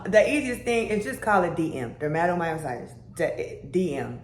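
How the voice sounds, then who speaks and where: A young adult woman with a deeper voice, talking casually to her viewers in a chatty vlog manner. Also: a little mellow. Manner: chatty, conversational, with "y'all" and "baby" asides. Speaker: vlogger. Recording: echoey room with faint background hum.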